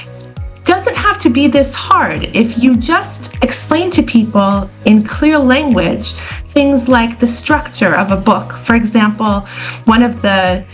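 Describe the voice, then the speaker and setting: A woman born in Israel and raised in the United States, a scholar answering interview questions in a clear, explanatory way. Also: fairly high pitch, rough recording. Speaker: scholar and guest.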